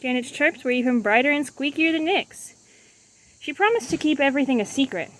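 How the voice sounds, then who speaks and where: A woman's voice: high-pitched, lively intonation, brisk, low-quality recording. A woman reading a children's book aloud as a storyteller. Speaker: storybook reader.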